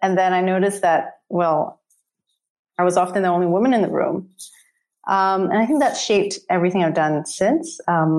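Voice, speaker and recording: A woman from Singapore answering as an interview guest, reflective and halting as she explains her career. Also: fairly low pitch, darkish tone. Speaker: interview guest, coach and investor.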